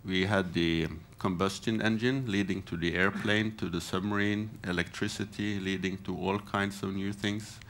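Panelist, male, 50s, lowish voice, plain and brisk, headset mic. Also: fairly even intonation, a bit bright. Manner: short, plain, rhetorical questions. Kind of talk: conference panel remarks. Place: conference hall panel stage.

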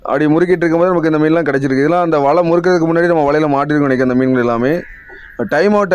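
A young man with a Tamil accent talks viewers through life as a deep-sea fisherman, fast and repetitive, turning to them often. His voice sits a little high, and the recording is dry and close with no room sound. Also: darkish tone, dry recording. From India. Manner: explanatory, repetitive, addresses viewers directly. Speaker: fisherman vlogger. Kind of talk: explaining narration over footage.

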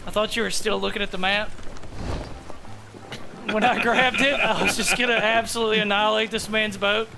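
A man's voice: high-pitched, steady tone, fairly quick, vocal fry, pauses often, over music. A young man from Alabama, streaming a pirate game with friends, teasing them and calling everyone sir, on a headset mic. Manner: teasing, mock-formal, calls everyone sir. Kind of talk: casual gaming chat with friends. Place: home streaming room.

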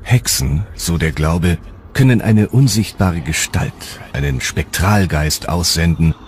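A man's voice: deep voice, lively intonation, measured pace. A man reading scripted, dramatic narration for a history documentary. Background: music.

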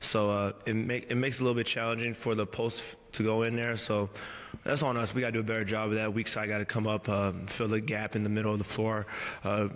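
Low, flat-voiced man in a press room. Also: big-room sound. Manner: measured, halting, many fillers and restarts. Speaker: pro basketball player at postgame press conference. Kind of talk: postgame press conference answers. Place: press room before a team backdrop. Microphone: table mic on a stand.

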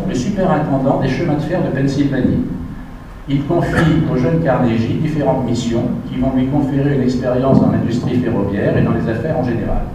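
A man delivers a history lecture at a middle pitch, reading his prepared text and stopping now and then to point out his slides. He is recorded from a distance in a very echoey lecture hall with clear background noise. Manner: reads prepared text, adds asides to the audience.

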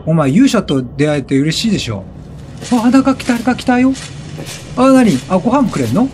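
A man giving casual, excitable let's play commentary, chatty and reactive, often repeating short phrases. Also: sits high, brisk, a little hiss or hum. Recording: game music behind the voice. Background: video game music.

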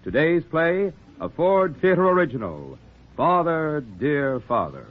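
A man's voice: on the high side, sing-song, a little slow. A man announcing in a formal, declamatory 1940s radio style. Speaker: sponsor announcer. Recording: tinny 1940s radio broadcast.

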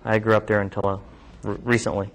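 A man's voice: lowish voice, flat delivery, quick pace, vocal fry, low-quality recording. A man in his thirties from New York, a physician giving an informal talk to medical students in a classroom, speaking conversationally with many ums and restarts. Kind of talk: informal talk with questions from the audience. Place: classroom lab, indoors.

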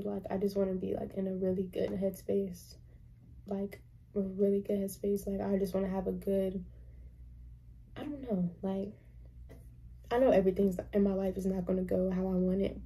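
A woman's voice: medium pitch, warm tone, breathy edge. A young woman of about twenty, a college student, chatting casually to camera in a small bedroom, rambling with lots of fillers and restarts. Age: young adult.

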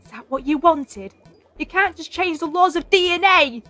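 A woman playing an impassioned, feisty young companion in an audio drama, excitable and challenging. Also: very high voice, moderate pace, faint music behind, heavily acted. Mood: indignant.

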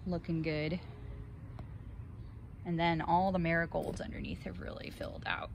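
A woman's voice: low-pitched, sing-song, moderate pace, gravelly. A woman in her thirties giving a casual, chatty garden tour, recorded outdoors on a handheld phone. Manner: chatty, cheerful asides. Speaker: gardening vlogger. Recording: outdoor ambient noise.

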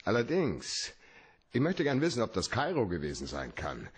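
An actor playing a hard-boiled, wry private detective in a noir radio drama, with a gravelly, laconic delivery. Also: male voice, fairly low pitch, huge pitch swings, no room sound, heavily acted. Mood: casual, probing. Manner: laconic, dry, slow.

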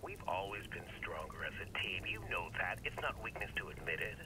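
Manipulative older mentor, game role: low, insinuating, menacing, on a noisy phone line. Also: male voice. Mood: coaxing, smug. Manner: insinuating, coaxing, deliberate. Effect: phone call filter. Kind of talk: video game acting. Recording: noisy phone line over rainy street.

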